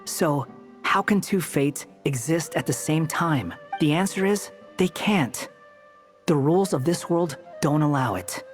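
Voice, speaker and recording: An actor playing an aloof, sardonic young man in a video game, with a cool, smug, deliberate manner. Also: a little high, lively intonation, soft background music.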